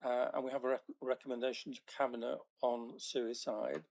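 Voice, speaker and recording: Male councillor with a gravelly voice and a halting, restart-heavy way of questioning. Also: mid-range voice, flat delivery, a little mellow. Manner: halting, many restarts and fillers. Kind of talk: questioning at a council committee meeting. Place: council meeting chamber.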